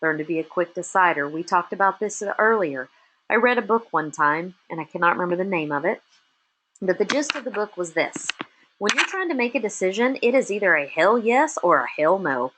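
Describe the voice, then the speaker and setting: A woman's voice: fairly low pitch, brisk, slight background noise, poor audio. Emphatic Texan woman coaching. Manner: emphatic, punchy short statements with lively rises. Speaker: webinar presenter and business coach for chefs. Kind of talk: motivational webinar talk.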